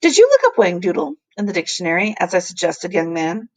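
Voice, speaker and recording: A woman voicing an earnest, kindly male professor in a storybook, explaining things deliberately and with emphasis. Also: fairly low pitch, big pitch swings, darkish tone, low-quality recording. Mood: insistent.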